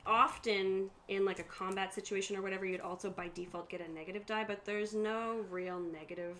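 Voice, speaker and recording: A woman's voice: mid-range voice, steady tone, brisk, a bit bright. Woman game master getting over a cold. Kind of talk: explaining a tabletop game to players. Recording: faint room noise.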